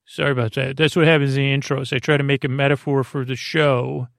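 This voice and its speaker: A man hosting a sleep podcast with an improvised bedtime monologue, meandering, halting and mildly self-deprecating, with a creaky voice. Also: medium pitch, flat delivery, no echo, clean studio sound. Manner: meandering, halting, often trailing off mid-sentence.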